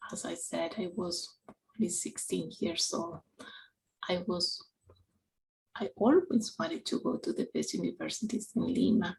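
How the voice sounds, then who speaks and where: Peruvian lady in her 40s telling her story at church, lowish gravelly voice, goes soft and whispery sometimes. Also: slight background noise, poor audio. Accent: Peruvian Spanish. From Peru. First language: Spanish. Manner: slow, earnest and halting, with soft whispered stretches. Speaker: parish council member giving a reflection. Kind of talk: personal testimony for a novena.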